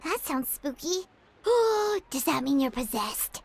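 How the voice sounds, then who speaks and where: A woman voicing a tiny, chatty, excitable female companion creature in an English video game dub, high and squeaky. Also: takes it slowly, noisy background, heavily acted. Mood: curious. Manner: animated, exclaiming and questioning. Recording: game audio with music underneath.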